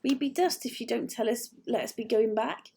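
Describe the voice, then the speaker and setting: A woman's voice: on the high side, normal speed, gravelly, mellow, breathy edge. A woman voicing an eager male creature who talks in odd broken grammar.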